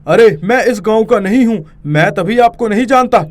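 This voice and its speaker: A man voicing a flustered, pleading village cook in an animated children's story, anxiously repeating his words. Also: high voice, normal speed, natural voice. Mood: nervous, pleading.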